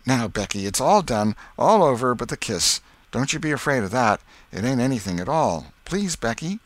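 A man's voice: medium pitch, sing-song, normal speed, a bit bright, dry recording, pitched above the performer's own voice. A man voicing an eager, boastful young schoolboy in a storybook, with a folksy, insistent way of talking.

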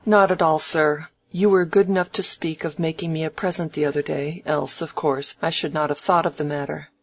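A narrator as a polite, restrained young gentleman. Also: medium pitch, little pitch movement, normal speed, husky. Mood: polite, deferential. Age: young adult. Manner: courteous, formal, restrained. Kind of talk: dramatised audiobook character.

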